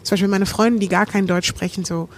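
Dutch-accented actress, rounded melodic German, thoughtful and halting. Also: female voice, medium pitch, fairly even intonation. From Netherlands. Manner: thoughtful, hesitant, melodic phrasing. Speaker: stage actress, guest. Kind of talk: casual podcast chat.

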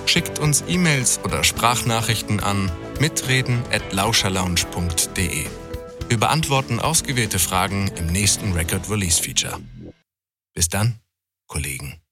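A man working as an announcer, reading a scripted credits list in a measured way. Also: on the low side, lively intonation, music in the background.

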